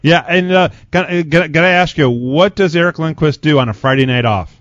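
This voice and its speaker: A man's voice: a little high, brisk, fairly bright tone, dry recording. A man hosting a conversational hockey radio interview, chatty and wordy with a joking way about him. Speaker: sports radio show host, interviewer. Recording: dull, lo-fi radio sound.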